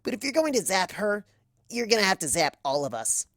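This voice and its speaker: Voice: mid-range voice, sing-song, fairly quick, fairly bright tone, no echo, clean studio sound, pitched above the performer's own voice. A performer voicing a loyal, defiant young female pegasus pony with a blunt, tough, casual manner in a story reading.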